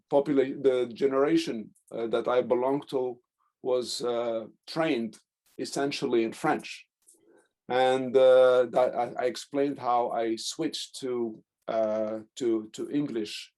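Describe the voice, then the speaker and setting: A middle-aged man from Algeria, a guest speaker and poet answering audience questions in an online talk, pausing thoughtfully to search for words. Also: mid-range voice, darkish tone. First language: Algerian Arabic (Darija). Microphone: video call.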